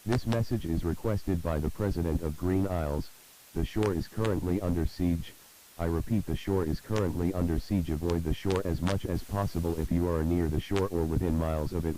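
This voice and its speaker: A man's voice: low voice, fairly even intonation, moderate pace, mellow, low-quality recording. A man reads a scripted emergency broadcast announcement in a formal, solemn manner. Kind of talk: scripted emergency alert narration. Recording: hissy recording with faint noise.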